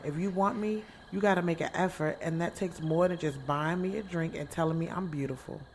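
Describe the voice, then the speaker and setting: A woman's voice: on the low side, fairly quick, a little hiss or hum, close to an everyday voice. A woman playing a sassy, candid young woman in an audio drama, telling her story in a casual, slangy way, swearing freely. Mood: playful, assured. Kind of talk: audio drama first-person narration and dialogue. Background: music.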